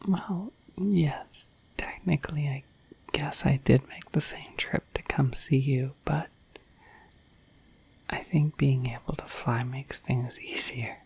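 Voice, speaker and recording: Woman playing a shy, slow-whispering dorky dragon. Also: deep voice, darkish tone, exaggerated voice, low-quality recording. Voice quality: breathy whisper. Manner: bashful, hesitant, apologetic, rambling. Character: shy, dorky, affectionate dragon visiting overnight. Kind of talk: ASMR roleplay, whispered intimately to the listener.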